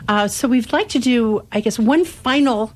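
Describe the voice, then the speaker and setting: A woman's voice: on the high side, moderate pace. A woman troupe performer talking casually as a guest in a radio studio interview.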